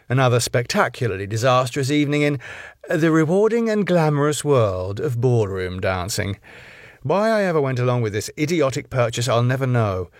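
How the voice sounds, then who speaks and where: Middle-aged wife read by a man, domineering, mocking, deliberate delivery with quiet menace, dry sound. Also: fairly low pitch, breathy edge, no echo, heavily acted. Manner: slow, controlled menace and sarcasm.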